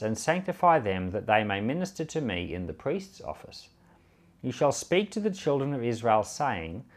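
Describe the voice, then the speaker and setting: A man reading scripture aloud in a measured, emphatic, solemn way. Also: fairly low pitch. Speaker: Bible reader and podcast host.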